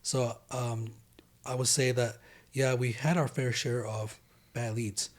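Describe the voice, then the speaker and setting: Guy from taiwan on a podcast, pretty monotone, steady and plain, middle pitch. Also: takes it slowly. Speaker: law firm owner, guest. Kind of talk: casual interview chat. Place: podcast studio.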